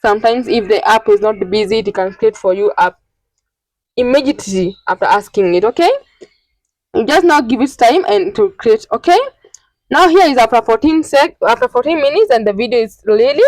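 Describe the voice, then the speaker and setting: A woman narrating an informal step-by-step tutorial in a halting, repetitive, instructional way. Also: on the high side, animated, darkish tone, dry recording, low-quality recording. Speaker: tutorial host.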